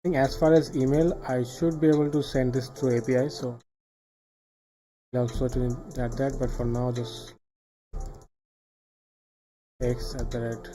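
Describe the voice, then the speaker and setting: A man from Bangladesh, thinking aloud in casual live coding commentary, halting and trailing off into quiet asides. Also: medium pitch, level tone, poor audio. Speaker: live streamer building software.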